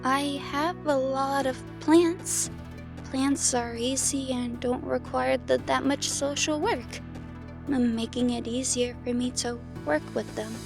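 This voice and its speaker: A woman plays an anxious teenage programmer who stumbles over her words. Her voice is high and her pace is slow and hesitant. Music is clearly heard underneath. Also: steady tone, full character voice. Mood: timid, nervous. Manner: hesitant, stammering, timid. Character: shy anxious stammering sixteen-year-old girl programmer. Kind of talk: audio drama acting.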